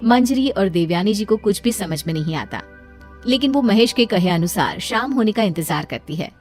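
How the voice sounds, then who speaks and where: A woman's voice: medium pitch, lively intonation, normal speed, darkish tone. A woman reading a scripted story as its narrator. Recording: music behind the voice. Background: background music.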